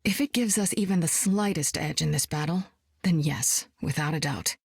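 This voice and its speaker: A seasoned woman superspy with a cool, wry, self-assured delivery. Her voice sits a little low and is recorded close and dry, with no room around it. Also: normal speed, gravelly, husky, no room sound, heavily acted. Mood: warm, amused. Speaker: game hero. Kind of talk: video game voice lines.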